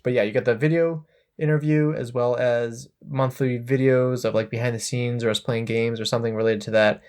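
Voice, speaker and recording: A man's voice: mid-range voice, steady tone, brisk, darkish tone. A man hosting a podcast, chatting casually in an easygoing, upbeat way. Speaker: podcast host. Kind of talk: casual podcast chat and outro.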